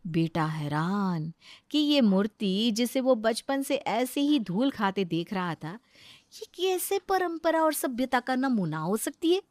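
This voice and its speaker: A woman's voice: fairly high pitch, sing-song, slow pace, a little mellow, no echo. A woman narrating a scripted comic family story as the storyteller.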